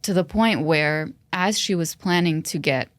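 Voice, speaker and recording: A woman's voice: fairly low pitch, measured pace, no echo. A woman whose first language is Arabic, co-hosting a podcast and telling a personal story in a reflective, earnest way. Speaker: podcast co-host. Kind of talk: casual podcast conversation.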